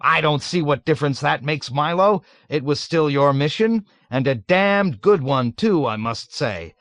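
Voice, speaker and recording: A man voicing a jovial, nervous middle-aged colonel who gushes loudly and is eager to please. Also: on the high side, expressive, a little slow, no room sound, voice pushed up. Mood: gushing. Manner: loud, gushing, eager to please.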